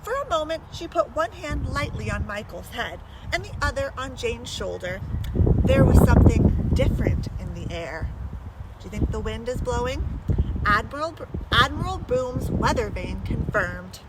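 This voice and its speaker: High, animated woman reading a children's story. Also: normal speed. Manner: animated, addresses young listeners with questions. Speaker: storyteller reading a children's book aloud to listeners. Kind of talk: expressive children's read-aloud with chatty asides. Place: outdoors in blustery wind. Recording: very noisy, windy outdoor recording with traffic. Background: wind, urban ambience, vehicles.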